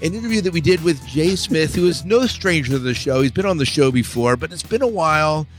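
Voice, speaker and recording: A man's voice: a little high, lively intonation, a little fast, faint music behind. A man hosting a podcast in a casual, chatty co-host style.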